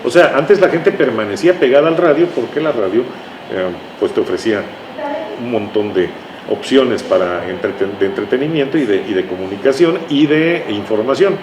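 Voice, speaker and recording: Man, Mexican accent, mid-pitched voice, talkative storyteller, conversational interview, reverberant room, clear background noise. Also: moderate pace, muffled recording. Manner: talkative, anecdotal, with frequent tag questions. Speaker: interview guest, writer recalling his radio work. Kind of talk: conversational reminiscing. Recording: echoey room, noisy ambient hum.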